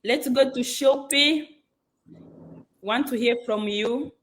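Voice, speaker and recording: A woman hosting an online group call, introducing each speaker in a slow, deliberate way. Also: high-pitched, a bit bright, slight background noise, poor audio. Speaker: host.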